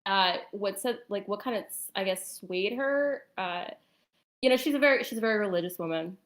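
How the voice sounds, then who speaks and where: A woman telling a personal story in a casual, conversational and somewhat halting way. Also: medium pitch, animated.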